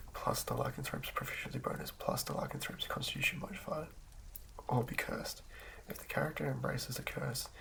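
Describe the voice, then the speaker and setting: A man reading in a hushed, breathy ASMR whisper with a halting, measured delivery. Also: medium pitch, a little hiss or hum, poor audio. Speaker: host of an ASMR channel. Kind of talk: ASMR reading of game rulebook.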